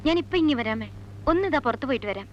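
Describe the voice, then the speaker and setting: A woman's voice: a little high, expressive, rapid-fire, highs cut off, faint music behind, lightly acted. A young actress playing a headstrong, girlish teenage daughter in a Malayalam film drama, talking insistently. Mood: cheerful, eager.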